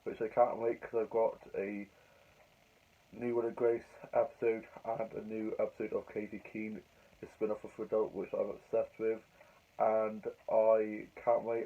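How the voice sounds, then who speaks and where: Croaky young guy rambling about his day, kinda low monotone voice, sounds like a phone line. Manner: chatty, rambling, fillers and restarts. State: croaky from a cold. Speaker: vlogger. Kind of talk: casual diary vlog.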